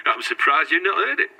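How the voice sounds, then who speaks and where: A folksy male pub landlord. He is chatty, with a very bright voice, slightly high, and lively intonation in an audio drama. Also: creaky, breathy edge, exaggerated voice, rough recording. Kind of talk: horror audio drama acting.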